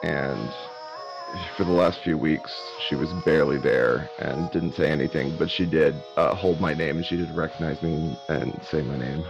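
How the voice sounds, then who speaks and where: Deep gravelly man, speaking haltingly. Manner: halting, hesitant, many pauses and fillers. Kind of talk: casual conversation. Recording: muffled recording with clear music behind.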